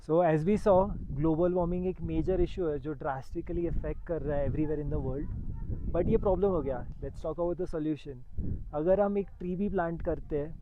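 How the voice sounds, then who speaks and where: A man's voice: fairly high pitch, racing, dull audio. A man vlogging on a mountaineering trek outdoors, upbeat, switching between English and Hindi phrases. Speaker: vlogger, mountaineering course trainee. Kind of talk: on-the-go travel vlog commentary.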